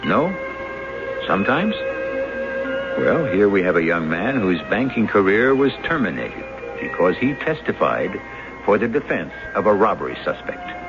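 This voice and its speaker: Radio mystery host, low animated voice, theatrical, probing, loud music behind, muffled recording. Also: male voice, unhurried. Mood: probing, dramatic. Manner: theatrical, rhetorical questioning. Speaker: host narrator. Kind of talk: 1970s radio mystery narration.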